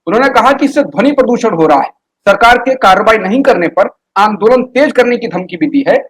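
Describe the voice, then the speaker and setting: A man's voice: high voice, expressive, brisk, fairly bright tone, breathy edge. A man working as a news anchor, reading a scripted news report.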